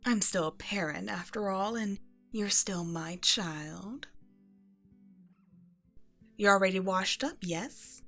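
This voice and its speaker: A woman playing a regal evil empress and doting mother in an ASMR bedtime roleplay, speaking in a measured, tender, condescending way to a child. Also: medium pitch, bright, forceful tone, exaggerated voice. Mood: tender, gentle. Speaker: audio roleplay performer. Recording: music behind the voice.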